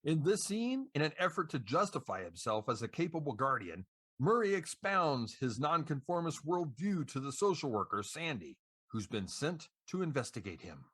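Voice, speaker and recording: A man's voice: fairly high pitch, big pitch swings, moderate pace, a bit bright, breathy edge. A man co-hosting a podcast, reading scripted introductions to dramatic scenes. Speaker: podcast co-host.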